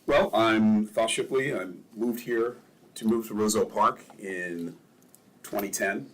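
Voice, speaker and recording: An older man from Maryland, a ward councilman, telling relaxed stories in a casual interview and chuckling often. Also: lowish voice, a little slow, a little hiss or hum.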